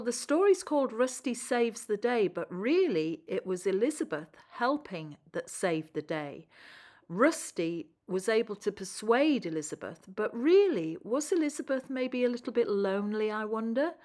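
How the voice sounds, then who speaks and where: Animated woman reading a children's story. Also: on the high side.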